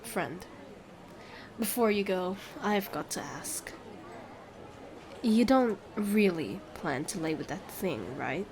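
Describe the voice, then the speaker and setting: A woman's voice: mid-range voice, lively intonation, slow, long pauses, background noise. A woman playing a smug, haggling female merchant with glib, theatrical sales patter in a fantasy audio drama. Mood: brisk, sly. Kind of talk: scripted fantasy audio roleplay.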